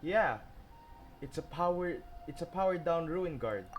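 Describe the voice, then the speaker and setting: A man's voice: on the high side, animated, a little slow. A man giving casual, playful playthrough commentary as a gaming streamer, often muttering reactions. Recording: loud game music behind.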